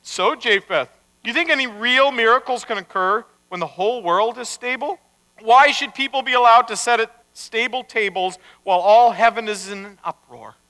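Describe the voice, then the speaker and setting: A man's voice: extremely high pitch, moderate pace, bright, forceful tone. A man voicing a blunt, fatherly God with an authoritative, sardonic manner in a told story. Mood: wry, commanding. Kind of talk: live storytelling. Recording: echoey hall, long reverb.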